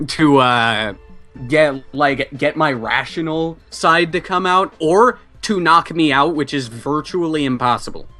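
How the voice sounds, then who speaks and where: A man with a bright, slightly high voice chats casually as a player in a tabletop game. Faint music sits behind him. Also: a little slow.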